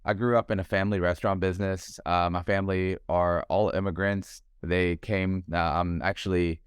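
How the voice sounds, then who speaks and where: A young man from Thailand, a realtor, talking casually on a podcast over a video call from home, relaxed and talkative, calling the host bro and man. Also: low voice, moderate pace, smooth, no echo. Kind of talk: casual interview chat.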